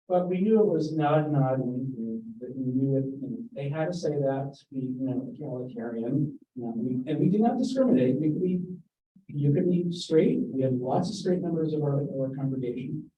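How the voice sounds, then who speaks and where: A man telling a panel about his own past, animated and restarting his sentences, speaking into a handheld mic. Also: medium pitch. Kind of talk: personal recollection at a panel. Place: event room. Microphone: handheld microphone held away. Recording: roomy, distant, muffled event recording.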